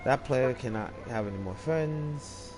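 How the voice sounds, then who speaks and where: Congested man narrating gameplay. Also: medium pitch. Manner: rambling, halting, with fillers. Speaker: gaming channel host. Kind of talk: casual let's play commentary. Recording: game music loud behind.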